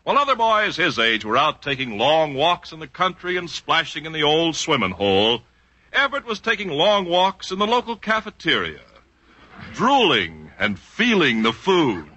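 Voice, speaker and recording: A man's voice: fairly high pitch, huge pitch swings, normal speed, sharp tone, exaggerated voice. A man playing the narrator of a mock biography in a 1940s radio comedy, with dry, mock-grand scripted storytelling. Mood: wry, mock-grand. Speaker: sketch narrator. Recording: faintly noisy 1940s radio recording.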